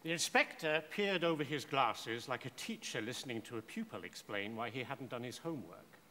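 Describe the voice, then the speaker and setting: A man narrating a scripted short story in a measured, theatrical way. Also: medium pitch, expressive, pushed and bright. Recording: echoey hall with faint noise.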